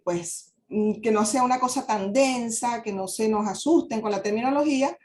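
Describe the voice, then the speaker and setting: A woman's voice: fairly high pitch, darkish tone. Polite woman presenting in a webinar. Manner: polite, explanatory, hesitant fillers. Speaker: guest speaker, real estate advisor.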